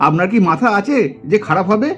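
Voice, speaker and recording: A man's voice: high-pitched, animated, normal speed, fairly bright tone, soft background music. An actor, a man, voicing a teasing, grumbling, boastful young uncle in a puppet cartoon with sarcastic delivery. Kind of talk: exaggerated Bengali puppet cartoon acting.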